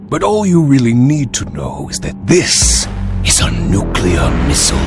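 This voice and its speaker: A man playing a smug, gloating villain in a game cartoon, mocking and theatrical, with music behind him. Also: mid-range voice. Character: smug gloating villain plotting to destroy the world.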